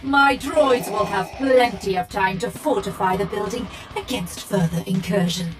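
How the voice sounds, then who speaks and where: A woman playing a calculating, smugly controlled villain in a video game, measured and condescending. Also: medium pitch, natural voice. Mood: smug menace. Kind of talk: video game character acting. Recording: loud music behind. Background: game soundtrack music.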